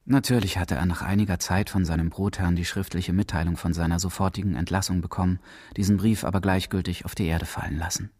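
A man narrates literary prose for a radio drama in a measured, deliberate, emphatic way. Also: deep voice, steady tone, a little mellow, no echo, clean studio sound. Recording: clearly noisy recording with clicks.

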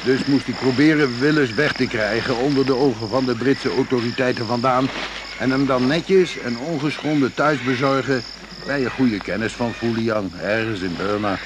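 A cheeky rogue for hire played by a man in an old radio drama. He speaks at a medium pitch, nonchalant and mocking, with colloquial turns. Clear noise lies over the recording. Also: moderate pace, lightly acted. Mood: sly, flippant. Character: cheeky, shady hired adventurer, sly and flippant.

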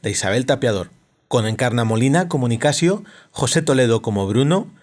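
A man reading a scripted introduction as announcer for a radio drama. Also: medium pitch.

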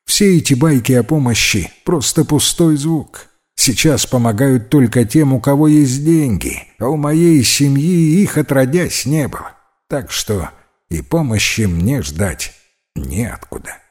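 A man's voice: medium pitch, animated, unhurried, darkish tone, pitched above the performer's own voice. A man voicing a smart, honest young boy who doubts everything and talks in a blunt, sulky way. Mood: bitter.

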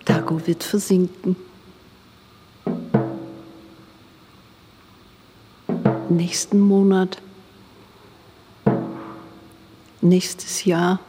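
Slow solemn storyteller over music. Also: female voice, fairly low pitch, flat delivery. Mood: foreboding. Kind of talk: literary radio play narration.

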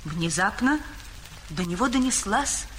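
A woman narrating an audio fairy tale in a measured storytelling manner. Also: medium pitch, expressive, fairly bright tone, slight background noise. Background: music.

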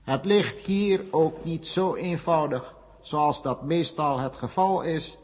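A man playing a solemn official who makes a formal, deliberate public address in a Dutch radio play. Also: a little high, darkish tone. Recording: muffled 1970 radio recording, echoing hall.

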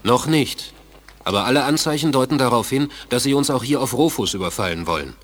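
A man plays an alien sovereign in distress, speaking at a middling pitch. His delivery is formal and urgent, full of dramatic pleading, in the manner of eighties German science-fiction radio drama. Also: expressive, fairly quick, natural voice. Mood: anxious, urgent. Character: anxious male alien ruler pleading for help. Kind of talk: 1980s German radio play acting.

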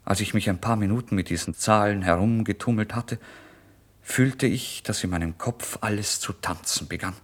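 An actor playing a starving, desperate young writer in a theatrical, volatile radio play monologue. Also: male voice, lowish voice, normal speed, close to an everyday voice. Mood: brooding, confused. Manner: theatrical, volatile, swinging from hushed to loud outbursts. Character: starving, desperate young male writer, feverish and self-deceiving. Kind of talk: dramatic literary monologue, radio play narration.

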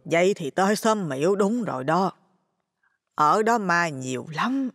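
A man's voice: sits high, expressive, a bit bright, dry recording, clean studio sound, big-room sound, voice pushed up, heavily acted. A man voicing a ghostly old woman, thin-voiced, mocking and eerie, in a horror audio story. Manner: slow, drawn-out, taunting.

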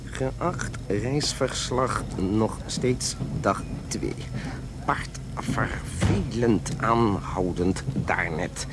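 A man playing a grumpy, uneasy character dictating a travel diary in a radio drama, halting and deadpan. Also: on the low side, background noise. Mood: weary.

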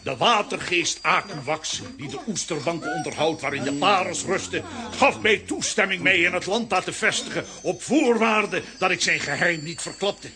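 A man's voice: sits high, animated, moderate pace, few pauses. An actor playing a grumpy, blustering undersea king and father in a children's audio drama, theatrical and declamatory. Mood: solemn, regretful.

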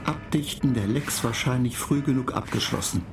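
An actor in a radio play voicing an impassive spaceship computer that makes clipped, monotone technical announcements. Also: medium pitch, normal speed, over music, lightly acted. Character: impassive spaceship computer reporting faults and countdowns.

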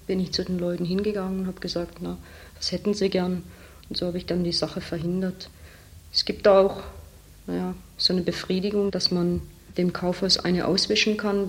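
Frank saleswoman with a flat, lowish voice. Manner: frank, candid, with hesitant fillers. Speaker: department store saleswoman. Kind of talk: interview recollection.

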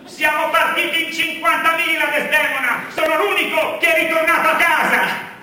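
Piercing, pompous crusader husband. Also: male voice, very high-pitched, fairly even intonation, moderate pace, exaggerated voice. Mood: declamatory, plaintive. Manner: declamatory, pompous, shouting. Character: melodramatic, self-important Othello, a returning crusader husband. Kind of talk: absurdist comic theatre. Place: stage, picked up from a distance. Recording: very echoey theatre, distant mic, faint noise.

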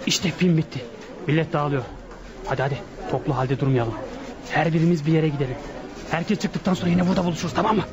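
Man acting a cocky, high-pitched gang boss. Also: fast talker, highs cut off, a crowd in the background, lightly acted. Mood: commanding, scheming. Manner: commanding, dismissive. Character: bossy male leader of a burglary gang, impatient and cocky. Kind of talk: radio drama acting. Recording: radio play with faint background noise.

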